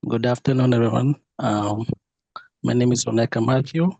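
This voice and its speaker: A man giving a quiet, brief and hesitant self-introduction in an online meeting. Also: medium pitch, steady tone, moderate pace, vocal fry, no echo, muffled recording. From Uganda. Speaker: agricultural scientist.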